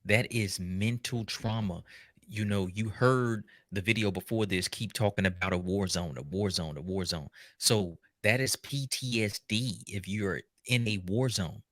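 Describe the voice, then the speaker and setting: A man hosting a show, giving casual, unscripted commentary in an animated, joking, colloquial way. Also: lowish voice, a little slow, no room sound, studio quality. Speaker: host reacting to a documentary.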